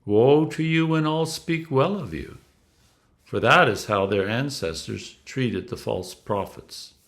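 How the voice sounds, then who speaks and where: A man reading scripture aloud as a clergyman, in a solemn, deliberate style with emphatic stresses. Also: mid-range voice, lively intonation.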